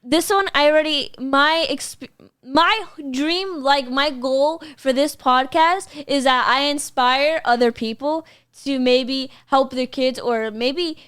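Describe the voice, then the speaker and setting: A woman's voice: sits high, takes it slowly, dry recording. A young woman co-hosting a family podcast from a home gaming room, talking casually and joking playfully into a studio mic. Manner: playful, boastful joking, animated. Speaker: podcast co-host. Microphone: studio mic on boom, headset.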